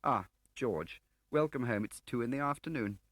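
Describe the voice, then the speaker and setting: A man voicing an urbane, flippant gentleman spy in an audiobook, with a droll upper-class English manner. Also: mid-range voice, lively intonation, moderate pace, dry recording, voice pushed up. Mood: breezy. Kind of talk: audiobook character acting.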